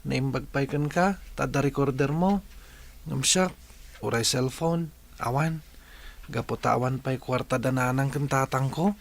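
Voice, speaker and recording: A man's voice: mid-range voice, unhurried, creaky. A man acting a polite, needy schoolboy asking a classmate for help in a radio drama. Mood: earnest.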